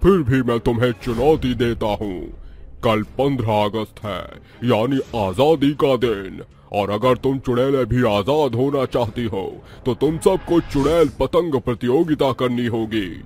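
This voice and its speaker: A man's voice: medium pitch, unhurried, darkish tone, lightly acted. A man playing a cruel, gloating demon king in a cartoon, speaking in a menacing, imperious way. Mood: taunting. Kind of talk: Hindi cartoon villain acting.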